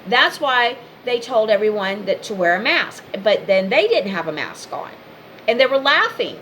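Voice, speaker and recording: A woman's voice: fairly high pitch, very animated, normal speed, fairly bright tone, a little hiss or hum, poor audio. A woman giving an impassioned, informal monologue straight to the listener, speaking in emphatic, repetitive short phrases.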